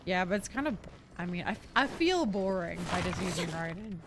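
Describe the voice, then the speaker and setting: A woman giving casual gameplay commentary as a streamer, thinking out loud and often second-guessing herself in a giggly way. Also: on the low side, lively intonation, brisk, noisy background. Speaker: game streamer. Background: game music and character voice lines.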